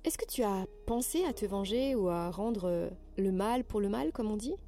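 Gentle woman podcast host, mellow tone. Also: medium pitch, lively intonation. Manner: gentle, measured, reads prepared questions. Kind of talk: scripted interview questions.

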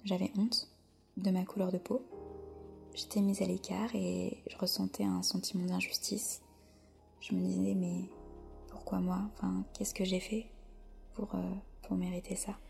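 A soft-spoken French woman, a podcast guest, answering slowly with many hesitant pauses in a whispery voice. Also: fairly low pitch, level tone, creaky, soft background music. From France. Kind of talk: podcast interview.

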